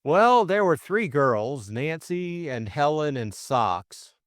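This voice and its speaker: A man voicing a breezy, reasoning young gentleman sleuth in an audiobook. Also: a little high, sing-song, takes it slowly, dry recording, studio quality, voice pushed up. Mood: casual. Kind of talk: audiobook character dialogue.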